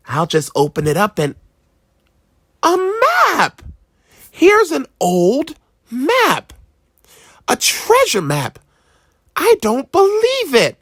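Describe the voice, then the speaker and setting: An eager young boy from a children's storybook, put on by an adult storyteller. The voice sits high and jumps about in pitch, curious and wide-eyed, exclaiming as it goes. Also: fairly bright tone, breathy edge, no room sound, studio quality, exaggerated voice. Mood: excited.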